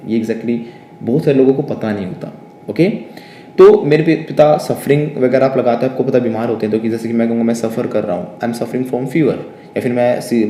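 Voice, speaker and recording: A man with an Indian accent teaches English phrases to students in Hindi, saying each example sentence slowly and checking that they follow. His voice is dark and mellow. It sounds like a roomy space with a faint hum behind it. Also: medium pitch. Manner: explains by repeating model sentences slowly, with frequent "ठीक है ना?" checks. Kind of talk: spoken English lesson in Hindi.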